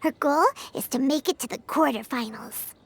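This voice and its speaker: A woman voicing a tiny, excitable floating companion girl who talks in a bright, squeaky way in a video game. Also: moderate pace, a little hiss or hum. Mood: eager.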